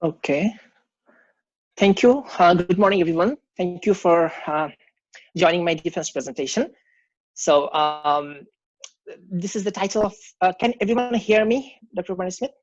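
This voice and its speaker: A young man with a Bangladeshi accent, a native Bangla speaker, giving an academic presentation in a hesitant, halting way as a PhD candidate. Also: high-pitched, steady tone, no room sound. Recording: muffled video-call audio.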